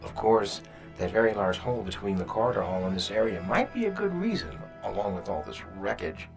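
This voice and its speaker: A man's voice: fairly low pitch, big pitch swings, a little fast, vocal fry, music in the background. A man playing a wry, kindly rabbit doctor aboard a starship in a sci-fi audio drama, muttering expressively.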